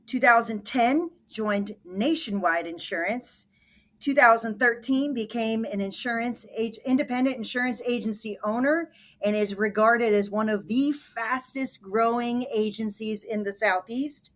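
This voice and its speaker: Brisk woman host reading an introduction. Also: fairly high pitch, fairly bright tone. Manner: brisk, formal delivery. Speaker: podcast host.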